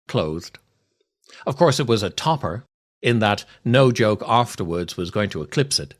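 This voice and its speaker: An older man hosting a podcast, reading scripted comic stories and verse in a theatrical, deliberate way with dramatic pauses. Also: medium pitch. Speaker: podcast host, writer and actor.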